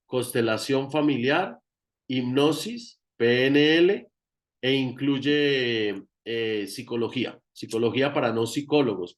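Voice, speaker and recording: Colombian man, emphatic spiritual teacher. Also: mid-range voice. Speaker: guest therapist and spiritual teacher. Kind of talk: informal teaching talk in an online meeting.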